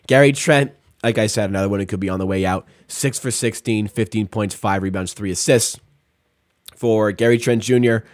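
A man's voice: lowish voice, moderate pace, dry recording. A young man in his twenties hosting a casual post-game sports stream, running through stats with fillers like "like" and "uh", on a close studio microphone in a small room at home. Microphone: studio mic on boom arm, close.

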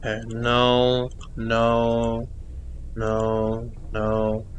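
A man's voice: medium pitch, monotone, drawn-out words, a little hiss or hum. A man giving reaction commentary on a horror game, jumpy and exclaiming, swearing and repeating himself. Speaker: gaming video creator.

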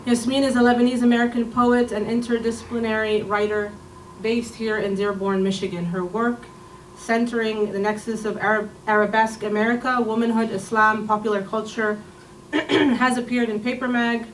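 A woman emcees an open mic at a community arts center, chatty and encouraging as she introduces the next reader. Her voice sits a little high for a woman. The podium mic picks her up from a distance, in an echoey room with faint background noise. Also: fairly even intonation, normal speed. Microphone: podium mic on a stand.

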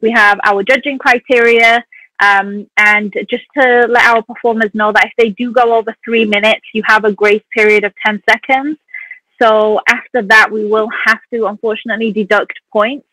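A woman's voice: fairly high pitch, steady tone, moderate pace, pushed and bright, dry recording, low-quality recording. A woman co-hosting an online poetry competition, explaining the rules in a chatty way with many fillers. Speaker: competition co-host. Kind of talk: casual live-stream hosting. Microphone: online call.

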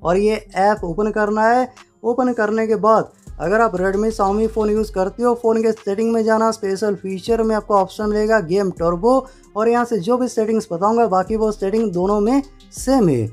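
A man's voice: high-pitched, moderate pace, a little mellow. A man narrating a step-by-step phone tutorial in an instructional way, repeating stock phrases. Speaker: tech tutorial presenter. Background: faint music.

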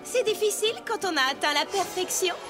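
A woman's voice: very high-pitched, expressive, fairly quick. A woman playing a vain, haughty female unicorn pony in a French cartoon dub, with affected, theatrical delivery. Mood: smug, preening. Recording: loud music behind. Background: music.

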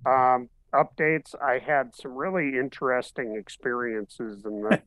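A man's voice: mid-range voice, a little slow, no room sound, rough recording. Brief: man, 50s, dry webcam sound, easygoing hobbyist show-and-tell. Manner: relaxed, matter-of-fact show-and-tell. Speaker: hobbyist showing 3D printed car bodies. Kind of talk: casual video call chat. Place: home living room, seated in armchair. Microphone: webcam on video call.

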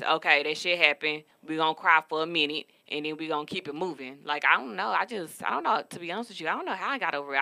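Bright-voiced young woman telling stories. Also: low-pitched. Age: 20s. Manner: candid, profane, storytelling. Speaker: podcast co-host. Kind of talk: casual chat. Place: studio room with a city backdrop.

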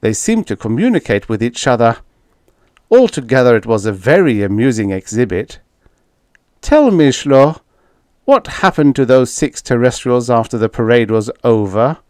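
A man's voice: mid-range voice, sing-song, normal speed, darkish tone, no room sound, studio quality, pitched above the performer's own voice, close to an everyday voice. A man playing a tough, sarcastic diplomat in an audiobook, pressing and snapping with blunt accusations. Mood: icy sarcasm. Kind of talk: audiobook character dialogue.

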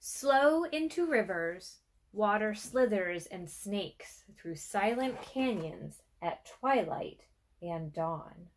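A woman in her thirties reads a children's picture book aloud. Her pace is slow, with deliberate phrasing and pauses, and her pitch sits in a middle range for a woman. The delivery is expressive, made for young listeners. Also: poor audio. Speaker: museum educator reading aloud. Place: small furnished room with armchair and bookshelf, indoors.

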